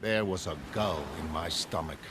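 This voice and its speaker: An actor playing a haunted, horror-struck castaway, narrating a pirate comic in solemn, literary gothic storytelling. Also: male voice, deep voice, normal speed, background noise, lightly acted. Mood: horrified. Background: music.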